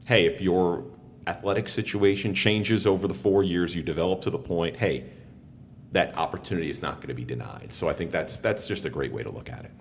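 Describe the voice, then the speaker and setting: A man interviewing on a podcast as a sports broadcaster, with a polished, promotional delivery. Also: a little fast. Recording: rumbling background noise, long reverberant room.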